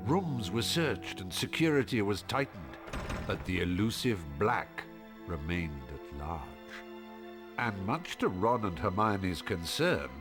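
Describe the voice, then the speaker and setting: Animated low-voiced storyteller. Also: male voice. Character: grave male storyteller narrating a wizard adventure. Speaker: narrator. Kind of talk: scripted storybook narration in a video game. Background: music.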